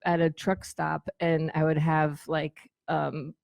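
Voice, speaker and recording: A woman's voice: low voice, flat delivery, slow, vocal fry, darkish tone, no echo, studio quality. A middle-aged woman chatting casually as a guest, telling anecdotes in an informal, sweary way and acting out bits of dialogue. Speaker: guest, former band tour manager.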